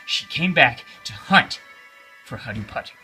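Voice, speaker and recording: Very bright, animated male storyteller. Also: mid-range voice, a little slow, soft background music. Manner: theatrical, emphatic, repeating phrases for suspense. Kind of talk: dramatic children's bedtime story narration.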